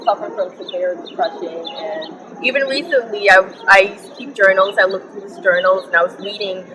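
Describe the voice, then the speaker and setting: A young woman speaks earnestly and reflectively, often stopping to gather her thoughts. Her voice is bright and forward. A faint hiss sits under the recording. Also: medium pitch, brisk. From Bahamas. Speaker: interview guest. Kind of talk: personal testimony in conversation.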